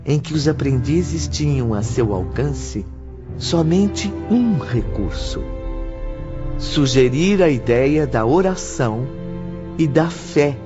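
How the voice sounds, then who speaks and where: A man with a Brazilian accent narrating an audiobook in a solemn, deliberate, scripted style. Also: mid-range voice, lively intonation. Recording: dull muffled audio under loud music.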